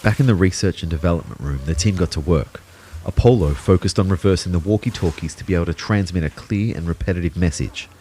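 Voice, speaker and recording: A man's voice: sits low, a little mellow, slightly rough. An Australian actor playing Kyle, an anxious, self-doubting man telling his own story in an audio drama. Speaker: actor narrating in character. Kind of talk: first-person audio drama narration.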